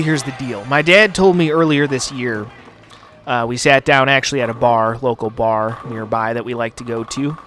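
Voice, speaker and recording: A man's voice: mid-range voice, a bit bright. A young man from the United States, hosting a gaming channel and rambling casually with plenty of swearing and filler words. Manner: profane, rambling, filler-heavy. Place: home basement studio.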